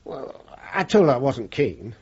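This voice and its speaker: A man's voice: mid-range voice, big pitch swings, a little slow, darkish tone, slightly rough, full character voice. An actor playing an easygoing, self-deprecating British working man in his sixties, deferential and a little hesitant, in a radio play. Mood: reluctant. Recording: dull, noisy radio play recording.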